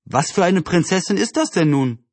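A man's voice: sits high, expressive, normal speed, no echo, voice pushed up. A man voicing a wry, teasing but easily conciliatory boy in a children's storybook.

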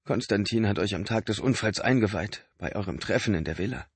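Man doing a breathless exhausted brother, urgent, pleading, husky, dry close sound. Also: on the low side, brisk, no echo, voice pushed up. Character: exhausted adult man sacrificing himself for his brother.

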